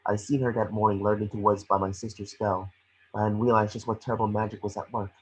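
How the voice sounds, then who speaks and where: A man reading the part of a gentle, remorseful witch ghost mentor in an amateur table read, earnest and measured. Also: lowish voice, level tone, husky, poor audio.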